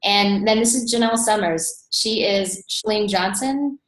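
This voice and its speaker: A woman's voice: medium pitch, unhurried. An American woman from North Dakota hosting an informal webinar, chatty and enthusiastic, recorded indoors over an online call. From North Dakota, USA. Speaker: webinar host and team coach. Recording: roomy echo.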